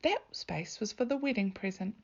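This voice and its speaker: A brisk, no-nonsense mother from a storybook, as a woman reader plays her. She speaks firmly and emphatically, on a slightly muffled recording. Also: mid-range voice, lively intonation, darkish tone. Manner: firm, emphatic. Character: firm, brisk mother laying down the rules.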